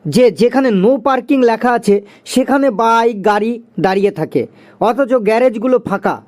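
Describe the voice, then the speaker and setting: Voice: medium pitch, moderate pace, a little mellow, faint music behind, lightly acted. A performer voicing a chatty, agreeable schoolboy in a cartoon, with a conversational, explaining manner. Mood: concerned, agreeing. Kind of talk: cartoon dialogue.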